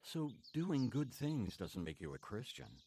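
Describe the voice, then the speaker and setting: A man's voice: mid-range voice, sing-song, a little slow, warm tone, a little hiss or hum. An actor playing a kind, devout young man in a radio drama, earnest and reassuring.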